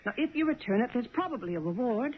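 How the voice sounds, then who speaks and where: Actress as firm, animated radio-drama wife. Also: female voice, a little high, quick pace, close to an everyday voice. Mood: scolding, firm. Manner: scolding, moralizing, earnest. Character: honest, firm, worried cab driver's wife. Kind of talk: 1970s radio drama acting. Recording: noisy narrow-band old radio broadcast.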